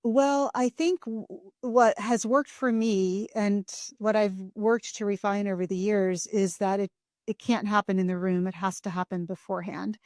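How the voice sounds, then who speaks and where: A woman's voice: mid-range voice, steady tone, moderate pace, darkish tone, no room sound, studio quality. A woman hosting a podcast who speaks reflectively and thoughtfully from her own experience. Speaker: podcast host. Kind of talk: conversational interview.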